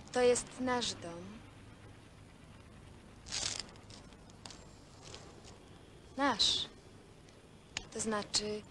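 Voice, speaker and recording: An actress playing a dreamy young woman who confides wishful stories in halting phrases, in naturalistic Polish film dialogue. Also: mid-range voice, background noise, close to an everyday voice. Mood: tender, hesitant. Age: young adult. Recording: old film soundtrack with music.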